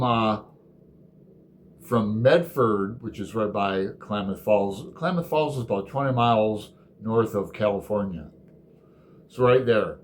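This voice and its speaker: Slow, halting man with faint background noise. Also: medium pitch. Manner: halting, unhurried, digressive. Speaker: host of a book readalong video. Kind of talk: casual chat with poems read aloud.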